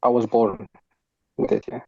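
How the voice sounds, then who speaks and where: A man's voice: mid-range voice, expressive, moderate pace, creaky, mellow, slightly rough, dry recording. A young man from Sudan, a podcast guest, answering thoughtfully and a little hesitantly with many fillers, speaking over a headset on a video call from home. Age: young adult. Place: home room, sitting on a sofa. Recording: dull lo-fi video call audio.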